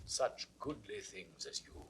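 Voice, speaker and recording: An actor playing an aging, remorseful king in classical Shakespearean verse, with a British accent and a measured, grandly declamatory delivery. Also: fairly bright tone, a little hiss or hum. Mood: grave, regretful.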